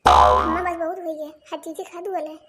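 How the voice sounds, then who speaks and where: A performer with an Awadhi accent voicing a quick-tempered, sulky young village housewife who bickers and complains in a comedy cartoon. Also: very high voice, huge pitch swings, heavily acted. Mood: indignant. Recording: noisy lo-fi cartoon soundtrack.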